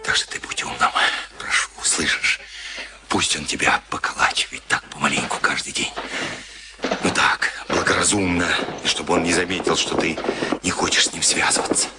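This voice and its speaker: An actor in a Soviet radio play playing a gruff, nervous camp henchman who mutters urgently, pleading and threatening, often half-whispering. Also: deep voice, fairly even intonation, normal speed, lightly acted. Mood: coaxing. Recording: noisy archival radio drama recording.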